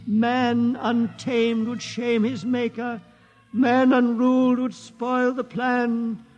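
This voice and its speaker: An old solemn keeper of legend. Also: male voice, very high-pitched, completely flat delivery, a little mellow, faint music behind. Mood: solemn chanting. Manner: slow, intoning recitation. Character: aged male keeper of sacred ship legend, solemn. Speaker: old-time radio drama actor. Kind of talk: 1950s radio drama acting.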